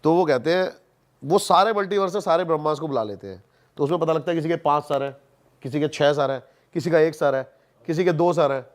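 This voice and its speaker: A man with a voice pitched a little high. He talks as a podcast guest, spinning stories and explanations in a casual way. He often repeats a word to drive it home. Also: fairly quick.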